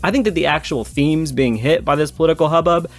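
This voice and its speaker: A man's voice: a little high, fast talker. A man narrating a scripted video essay as a game story analyst, with casual, irreverent, profane asides and a jokey manner. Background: background music.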